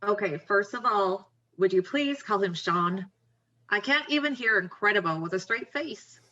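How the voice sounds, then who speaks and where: A woman's voice: medium pitch, lively intonation, normal speed, bright, forceful tone, muffled recording. A woman playing an upbeat, pushy, encouraging young best friend in a screenplay table read, talking casually and emphatically. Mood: amused, dismissive.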